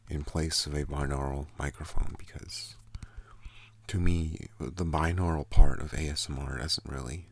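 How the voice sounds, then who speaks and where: Very deep gravelly man, soft and slow. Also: no room sound. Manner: slow, calm, partly whispered. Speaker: ASMR channel host. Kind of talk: soft-spoken ASMR talk. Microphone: close studio microphone.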